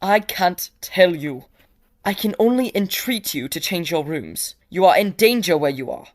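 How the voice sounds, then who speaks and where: A woman playing an anxious, earnest young gentleman in a period drama, pleading in formal phrases. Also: fairly low pitch, animated, unhurried. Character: anxious, earnest young clergyman's son warning a friend. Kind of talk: period audio drama dialogue.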